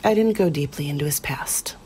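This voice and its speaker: A woman playing a quiet, lonely middle-aged churchgoer who recounts her past haltingly and plainly, as in a documentary interview. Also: sits low, a little hiss or hum, natural voice. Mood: subdued, reflective. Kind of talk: audio drama mock-documentary interview.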